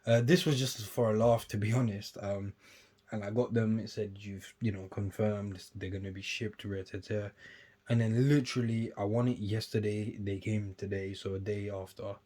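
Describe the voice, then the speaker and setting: A young man, casually chatting and rambling through an unboxing on his vlog, often trailing off quietly between livelier bursts. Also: lowish voice, steady tone, moderate pace. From United Kingdom. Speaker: sneaker YouTuber.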